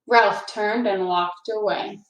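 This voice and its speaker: A woman hosting a read-aloud for children, speaking in an encouraging, deliberate storytelling manner. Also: medium pitch, steady tone, slight background noise. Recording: echoey, reverberant room.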